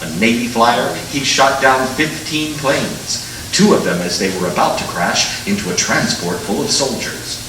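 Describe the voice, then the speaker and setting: Guy doing authoritative storyteller angel, measured old radio narration, very echoey, noisy distant mic. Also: medium pitch, natural voice. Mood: solemn storytelling.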